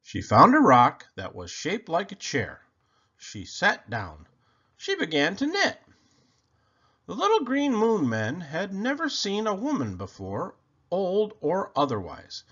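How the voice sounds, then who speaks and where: A man reading a children's picture book aloud expressively, with theatrical, deliberate phrasing, on slightly dull, lo-fi audio. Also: high voice, wildly swooping pitch, fairly bright tone, slightly rough.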